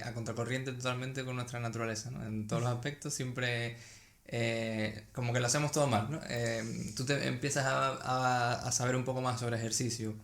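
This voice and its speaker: A man hosts a casual interview in a medium-pitched voice. His intonation stays quite flat, and the room adds a clear echo around him. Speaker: podcast host.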